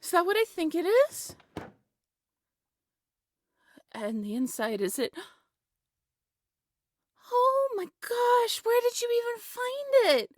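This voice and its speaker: A woman acting a cheerful, affectionate young woman in an intimate roleplay audio drama, with a playful, teasing, expressive delivery. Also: very high voice, measured pace, long pauses, no room sound, clean studio sound, exaggerated voice. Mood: excited, delighted.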